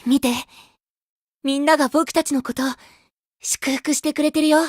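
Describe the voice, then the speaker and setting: Casting: sunny boyish game idol, extremely high youthful voice, sweet, innocent, short simple phrases, dry studio-like sound. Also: male voice, level tone, moderate pace, no echo. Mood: tender, loving. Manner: childlike, simple clipped phrases. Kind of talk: Japanese mobile game voice acting.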